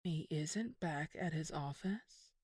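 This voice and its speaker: A woman's voice: deep voice, animated, slow, darkish tone, breathy edge, no echo, clean studio sound, exaggerated voice. An actress playing a sly villainous woman in an audio drama, condescending with feigned sweetness. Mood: sweetly menacing. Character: sly, scheming villainous old flame, woman. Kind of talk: scripted audio drama acting.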